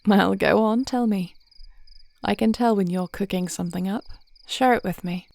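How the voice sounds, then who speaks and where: A woman playing a devoted warrior princess in an intimate fantasy audio roleplay, speaking in measured, formal phrases with a reassuring, thoughtful manner. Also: mid-range voice, creaky, a little mellow, lightly acted. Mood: encouraging. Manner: measured, articulate, formal old-fashioned phrasing.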